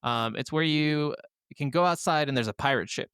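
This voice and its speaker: Clear-voiced man, enthusiastic gaming podcast talk. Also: fairly high pitch, normal speed, fairly bright tone, dry recording, studio quality. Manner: enthusiastic, casually profane. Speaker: podcast co-host. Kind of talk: casual chat about video games.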